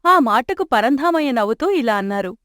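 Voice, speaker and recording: A woman narrating an animated moral story in an even, clear storytelling manner. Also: a little high, normal speed, no echo. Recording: dry close studio mic.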